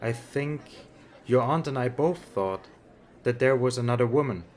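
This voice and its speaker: A man's voice: medium pitch, normal speed, a little mellow, lightly acted. A man playing a weary, resigned middle-aged father in an amateur audiobook drama, speaking frankly in a casual, subdued way. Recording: background crowd chatter.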